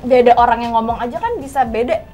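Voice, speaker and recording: A woman's voice: fairly high pitch, quick pace, warm tone. A young woman in a casual group discussion, agreeable and often repeating short affirmations. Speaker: talk show panelist. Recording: faint music behind the talk. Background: faint background music.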